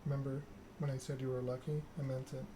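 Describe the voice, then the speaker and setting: A man voicing a curt, coldly controlled vampire enforcer from a story game, speaking in a measured, threatening manner. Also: medium pitch, level tone, close to an everyday voice. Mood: stern warning. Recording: clear background noise.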